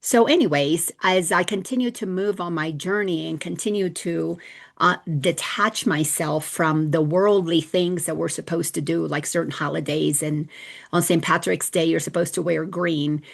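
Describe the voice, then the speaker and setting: A woman's voice: lowish voice, normal speed, gravelly. A middle-aged woman from the Dominican Republic hosting a casual spiritual teaching talk, chatty and storytelling, often restarting her sentences. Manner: chatty, warm-hearted storytelling with frequent restarts.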